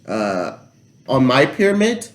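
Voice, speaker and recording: A man's voice: medium pitch, huge pitch swings, takes it slowly. A man co-hosting a casual podcast chat, blunt and opinionated. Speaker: podcast co-host. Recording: echoey room.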